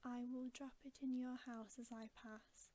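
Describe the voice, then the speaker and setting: A huge, kindly old storybook gift-giver, acted out by a woman reader. He speaks in a breathy whisper, stately and glad. The pace is slow and the tone mellow. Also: fairly high pitch, little pitch movement. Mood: generous, stately. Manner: stately, deliberate.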